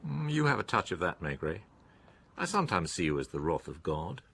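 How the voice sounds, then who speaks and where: An actor playing a wry, knowing confidant questioning a detective in a radio drama, with a gravelly voice. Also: male voice, sits low, sing-song, a little fast, a bit bright, a little hiss or hum, heavily acted. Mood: wry, probing.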